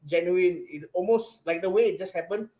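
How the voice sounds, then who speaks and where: A young man with a Singaporean accent, excitedly recounting a live event as a podcast guest, with lots of restarts and fillers. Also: high voice, normal speed, poor audio. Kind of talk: casual chat.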